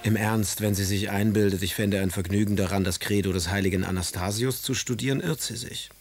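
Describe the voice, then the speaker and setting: An actor playing a passionate, melancholic and sardonic Romantic poet in a literary radio drama, with a husky voice. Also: male voice, lowish voice, steady tone, brisk, soft background music. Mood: wry. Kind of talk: literary radio drama, reading letters aloud.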